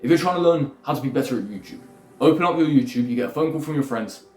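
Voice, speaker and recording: A man's voice: medium pitch, expressive, fast, darkish tone. A young man lecturing bluntly in a rambling self-improvement monologue, swearing freely. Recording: echoey room with faint music.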